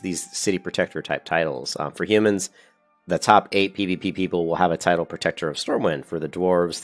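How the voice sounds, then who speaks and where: Very deep-voiced congested man. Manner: rambling, self-correcting, upbeat. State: congested with a cold. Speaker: gaming video host. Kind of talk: casual commentary reading a website.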